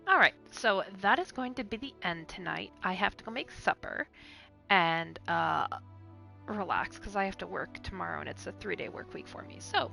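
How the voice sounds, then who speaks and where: Woman streamer, casual and blunt, gravelly voice, game music clearly audible behind. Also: lowish voice, animated, moderate pace, sharp tone. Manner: blunt, offhand remarks with mild swearing. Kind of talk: casual gameplay commentary.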